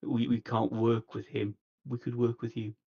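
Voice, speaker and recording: Man as earnest vampire envoy in tabletop play: creaky mid-pitched voice, measured and persuasive, dull lo-fi livestream sound. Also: monotone. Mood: frank. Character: earnest, diplomatic vampire envoy seeking a truce. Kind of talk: in-character tabletop roleplay dialogue.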